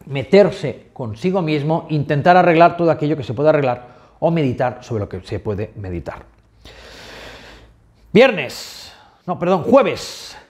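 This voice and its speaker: Chatty Spanish man recounting his pilgrimage. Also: on the high side. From Spain. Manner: chatty, self-correcting, addresses viewers directly. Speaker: pilgrim vlogger. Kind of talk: reflective travel narration.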